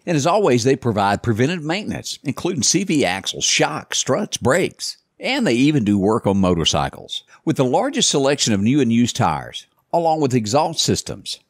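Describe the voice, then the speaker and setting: A middle-aged man hosting a morning show, chatting and reading ads in a folksy way. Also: medium pitch. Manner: folksy, lively, reads ads conversationally. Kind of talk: casual chat and live ad reads. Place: small living-room style studio set, indoors.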